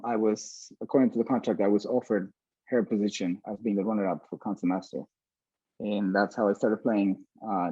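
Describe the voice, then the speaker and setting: A man from Venezuela talking casually as a musician being interviewed, pausing often mid-sentence. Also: warm tone. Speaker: orchestra violinist, interview guest. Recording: dull, roomy low-fidelity sound.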